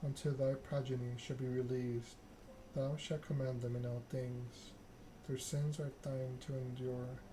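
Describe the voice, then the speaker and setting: A man's voice: mid-range voice, level tone, measured pace, few pauses, natural voice. A man reading the part of a stern, regal female vampire ruler in a formal, solemn way. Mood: measured, authoritative. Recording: clear background noise.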